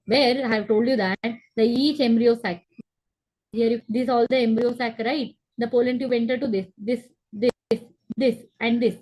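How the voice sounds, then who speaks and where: A woman's voice: fairly high pitch, steady tone, moderate pace, darkish tone, poor audio. Young woman teaching biology on a video call. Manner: explains step by step, repeats key terms, asks students to confirm. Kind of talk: online class lecture. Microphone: laptop webcam on a video call.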